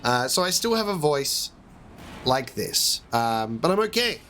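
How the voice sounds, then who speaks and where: A man hosting a let's play, chatting casually through the game with a hoarse voice from losing it, upbeat and rambling. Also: medium pitch, big pitch swings, unhurried, a bit bright, slight background noise. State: hoarse from a lost voice. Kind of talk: casual gameplay commentary.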